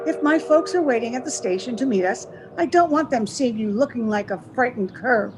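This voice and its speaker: A woman's voice: on the high side, animated, fairly quick, lightly acted. A woman playing a cold, scornful, social-climbing wife with a hard sneer, in 1930s radio melodrama style. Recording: noisy ambience under the voice.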